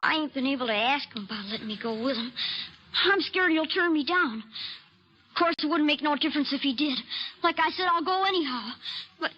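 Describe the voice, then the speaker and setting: A woman's voice: high-pitched, highs cut off, close to an everyday voice. A woman playing an eager, stubborn, earnest American country boy in an old-time radio western. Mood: admiring, stubborn. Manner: earnest, folksy country talk.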